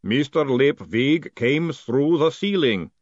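A man voicing a huge, patient golem servant in an audiobook, speaking slowly, formally and deliberately. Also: medium pitch, animated, no room sound, highs cut off, voice pushed up. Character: patient, literal-minded golem servant, huge and clay-built. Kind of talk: audiobook character voice.

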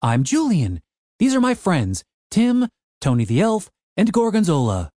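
A courteous young wizard with a diplomat's smoothness, as a male narrator puts him on. The pitch is raised and the voice moves lively through its lines. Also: unhurried, no room sound, clean studio sound, heavily acted. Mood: polite. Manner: polite, diplomatic.

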